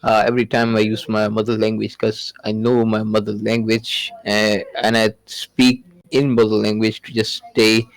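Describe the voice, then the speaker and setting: A man's voice: on the low side, flat delivery, normal speed, hardly any pauses, dry recording, poor audio. A man whose first language is Urdu, chatting casually in English on a podcast, hesitant, with many fillers and restarts.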